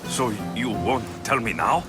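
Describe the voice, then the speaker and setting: A man's voice: medium pitch, animated, unhurried, natural voice. A man playing a determined young Greek warrior and ship captain in a video game, terse and grave. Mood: steady. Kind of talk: dramatic video game cutscene acting. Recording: very loud music over the voice.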